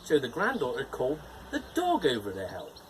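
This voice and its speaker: A man's voice: mid-range voice, fairly quick, a little hiss or hum. A man playing a cheerful, playful male gnome who hosts and reads a bedtime fairytale. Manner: animated, playful storytelling with teasing jokes. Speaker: storyteller host.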